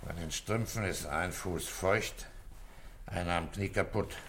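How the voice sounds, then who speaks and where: An actor playing a calm, methodical police commissioner in a German radio play, asking terse questions and giving quiet orders. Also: male voice, low-pitched, a little slow, slight background noise. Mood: pondering, methodical. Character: calm, methodical male police commissioner leading a murder case.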